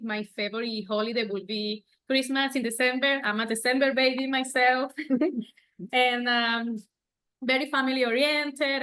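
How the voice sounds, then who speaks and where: A woman's voice: fairly high pitch, bright, forceful tone, clean tone. A woman from Venezuela with a Venezuelan accent, a native Spanish speaker, telling her personal story casually as an interview guest. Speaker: guest, bakery owner.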